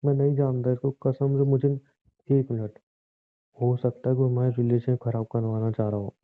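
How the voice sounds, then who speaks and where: A man's voice: medium pitch, flat delivery, normal speed, natural voice. Defensive cheating boyfriend on a tinny phone line man acting. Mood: pleading denial. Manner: pleading, insistent, self-justifying. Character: cheating boyfriend, shifty, defensive, brazenly self-justifying.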